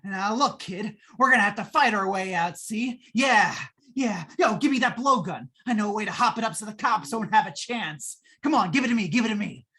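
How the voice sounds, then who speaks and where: A woman's voice: medium pitch, lively intonation, sharp tone, slightly rough. A woman playing a jittery, wheedling, wisecracking repairman in a 1950s radio play, talking in slangy patter. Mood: scheming. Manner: slangy fast-talking gangster-style patter. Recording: noisy live radio play stream.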